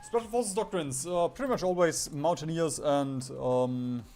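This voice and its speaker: A man's voice: a little high, a little slow, a little mellow. A man doing casual gaming stream commentary in a rambling, jokey way, swearing casually. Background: background music.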